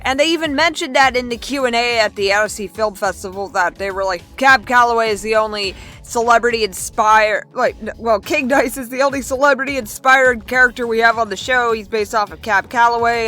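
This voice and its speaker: A young woman vlogger chatting casually as she reads out and answers viewer comments, in a chatty, excitable manner. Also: fairly high pitch, brisk, few pauses, faint music behind.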